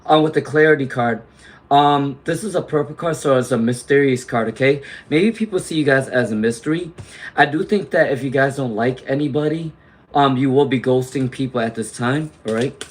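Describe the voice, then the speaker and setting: A man's voice: medium pitch, normal speed. Man with a worn, laryngitis-hit voice. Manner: chatty, reassuring, frequent "okay?" and "all right?" tags; clears throat often. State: losing his voice from laryngitis. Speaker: tarot reader; teacher. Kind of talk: live-stream tarot reading.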